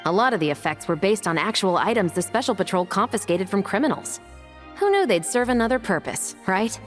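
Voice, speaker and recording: A composed, wry young woman, voiced by an actress in an anime game. Her medium-pitched voice is conversational, with music behind it. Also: lively intonation, a little fast, lightly acted. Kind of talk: English anime game dub, scripted cutscene acting.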